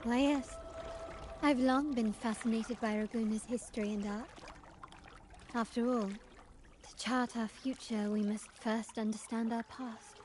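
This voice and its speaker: A woman playing a poised, cultured and enigmatic young lady in a video game, speaking formally and with measured eloquence. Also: on the high side, fairly even intonation, darkish tone, slight background noise, close to an everyday voice. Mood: polite, intrigued. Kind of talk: scripted video game dialogue.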